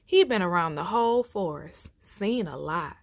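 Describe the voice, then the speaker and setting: A woman reading a storybook aloud to children with expressive, folksy, dramatic phrasing. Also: lowish voice, moderate pace, no echo.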